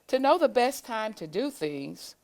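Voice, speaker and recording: A woman voicing a high-minded female heron giving instructive advice in a children's storybook. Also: big pitch swings, a little slow, darkish tone.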